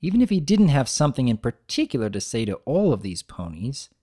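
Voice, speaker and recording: A narrator reads fiction as a dramatic storybook performance. The pitch is middling and moves around a lot, giving an animated scripted delivery. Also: fairly quick.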